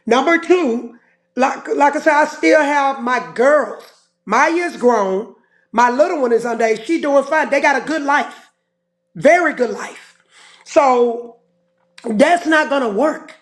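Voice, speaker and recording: A woman with a slightly hoarse voice, speaking as a guest on a livestream and defending herself in short, repeated, broken phrases. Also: sits high, slow pace. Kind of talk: livestream call-in.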